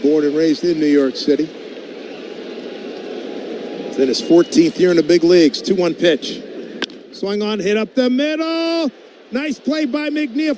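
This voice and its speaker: A male baseball radio announcer with a high-pitched voice delivers live play-by-play in short, clipped phrases, ready to lift into an excited call. His voice sits over clearly audible stadium noise, as on a live ballpark broadcast. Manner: clipped phrases, calls rising to excited shouts on big plays. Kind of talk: live sports play-by-play commentary.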